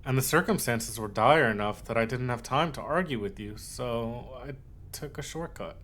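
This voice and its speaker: A man plays a soft, possessive male yandere in an intimate audio roleplay, with a mid-pitched voice. He dotes on his listener with earnest devotion, stumbling slightly over his words as his obsession shows through the tenderness. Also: natural voice. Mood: coaxing, intimate. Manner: tender, doting, halting, earnest.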